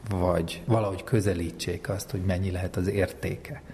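A man's voice: low voice, steady tone, darkish tone, a little hiss or hum. A man explains things as an interviewed economics lecturer, reflectively posing rhetorical questions. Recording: long reverberant hall echo.

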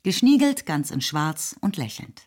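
Low-voiced woman narrating literary drama. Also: sing-song, measured pace, a little mellow. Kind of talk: scripted literary narration in a German radio play.

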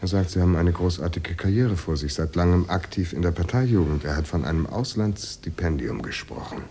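An actor in a German radio drama playing a male Soviet official who cajoles and pressures in a hushed, persuasive manner. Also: deep voice, brisk, slight background noise. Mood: insinuating, pressing. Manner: hushed, persuasive, veiled threats.

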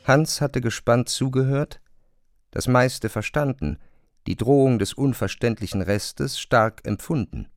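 A man narrates a literary radio play in a measured, deliberate way. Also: medium pitch, no room sound, clean studio sound. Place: studio.

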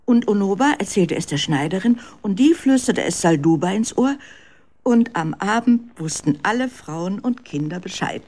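A woman's voice: mid-range voice, expressive, normal speed, a little mellow. A woman narrating a children's radio drama as a scripted storyteller.

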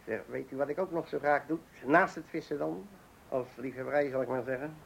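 An actor playing a chatty working-class window cleaner and keen angler in a radio play, folksy, boastful and amused with himself. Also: male voice, mid-range voice, steady tone, brisk, vocal fry, slightly rough, low-quality recording. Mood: chummy. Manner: folksy, boastful, self-amused, hesitant openings.

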